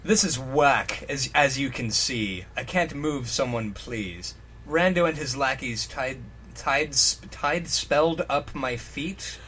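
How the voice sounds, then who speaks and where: Man acting cocky rhyming student wrestler, slow emphatic delivery, comic story reading, faint noise behind. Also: mid-range voice, pushed and bright. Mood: exasperated rhyming. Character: rhyming young male student, cocky rapper-wrestler type.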